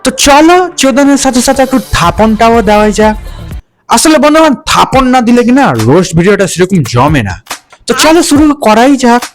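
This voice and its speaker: A man's voice: very high voice, expressive, fairly quick. A man delivering a mocking meme-review roast, full of crude slang and sarcastic teasing. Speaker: roast video host. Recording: music bed under voice.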